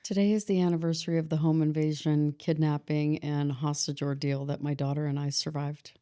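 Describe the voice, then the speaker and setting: A woman in her forties, a podcast guest, telling her life story in a reflective, halting way. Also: sits low, level tone, warm tone, smooth. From Boston, grew up in San Diego. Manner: reflective, halting, with restarts and fillers. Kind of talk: personal story in a podcast interview.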